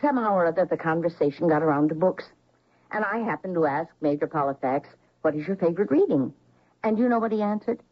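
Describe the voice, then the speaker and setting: A low, genteel older woman's voice, a nostalgic mother in a classic radio play. She talks in refined, theatrical phrases, and the recording is dull and lo-fi. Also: lively intonation, quick pace. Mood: reminiscing. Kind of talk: old-time radio drama acting.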